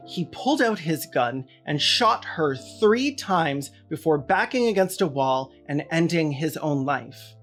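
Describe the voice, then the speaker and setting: Video essay host, mid-range voice, blunt and sardonic, scripted commentary over a music bed. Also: mid-range voice. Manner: sardonic, blunt, casual swearing.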